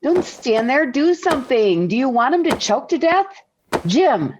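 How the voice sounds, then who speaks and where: An actress playing a gentle, sensible, middle-aged American wife and mother in a 1940s radio sitcom, speaking in a motherly way. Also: sits high, big pitch swings, background noise. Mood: urgent, scolding. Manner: measured, motherly. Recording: dull vintage 1940s radio recording.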